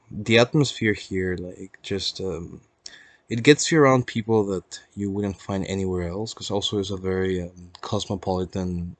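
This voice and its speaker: A young man with a Peruvian accent speaks in a fairly low voice, recorded close and dry. He rambles reflectively in a casual personal vlog, pausing and hesitating as he searches for words, with some easy swearing. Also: fairly bright tone, no echo. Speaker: vlogger.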